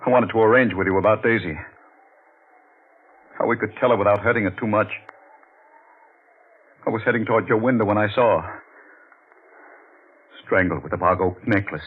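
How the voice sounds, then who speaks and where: An actor playing a bitter young lover turned guilty accomplice, terse and grave, in 1940s radio style. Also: male voice, on the low side, normal speed, long pauses, breathy edge. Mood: bitter, remorseful. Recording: tinny band-limited 1940s radio, faint noise.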